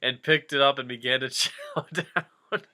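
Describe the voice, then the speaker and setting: A man's voice: medium pitch, measured pace, bright, forceful tone. A man giving a comedic reading of fan fiction, breaking off into casual, jokey asides.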